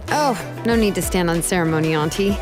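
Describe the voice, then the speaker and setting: A woman's voice: fairly low pitch, a little fast, music in the background, lightly acted. A woman voicing a helpful, persuasive young artisan in a video game, casual in manner.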